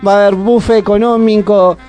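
A man's voice: high-pitched, fairly even intonation, fairly quick, a little mellow, faint music behind. A man with an Argentine accent hosting a community radio show, talking in a rambling, chatty way. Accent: Argentine Spanish. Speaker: radio host. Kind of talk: casual radio chat.

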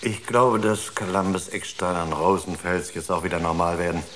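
A man's voice: sits low, steady tone, moderate pace, a bit bright. A man playing an earnest psychologist on a space crew in a naturalistic radio drama, explaining things in a searching way. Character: earnest male space-crew psychologist, absorbed in his experiment. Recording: noisy radio drama recording.

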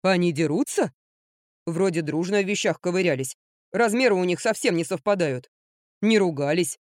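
A woman voicing a practical, good-natured older uncle in an audiobook, grumbling gently and gruffly. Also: lowish voice, no room sound, studio quality, natural voice. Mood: sheepish, amused. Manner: unhurried, gruffly good-humored.

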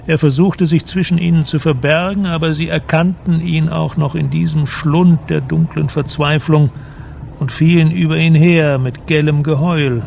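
An actor as a grave storyteller, delivering scripted literary narration for a radio drama. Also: male voice, a little high, steady tone, normal speed, gravelly, background noise.

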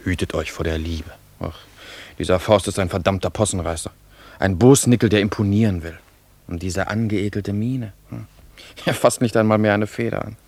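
An actor playing a pompous, earnest and easily affronted young admirer in a theatrical, florid German radio drama style. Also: male voice, fairly low pitch, moderate pace, darkish tone, no echo. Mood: scornful musing. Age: young adult.

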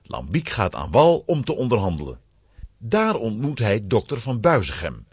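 A man's voice: on the low side, huge pitch swings, moderate pace, husky, no echo, studio quality. A man narrating a children's audio play in a scripted storytelling style.

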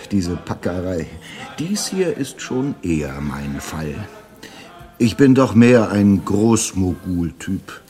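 A man with a husky voice acting a wry crime-story narrator in a German radio drama. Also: fairly low pitch, darkish tone. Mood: wry, jovial.

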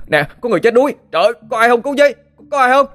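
A man voicing a hot-tempered, foul-mouthed young fisherman, shouting and cursing. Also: on the low side, steady tone, very fast, lightly acted. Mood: panicked screaming.

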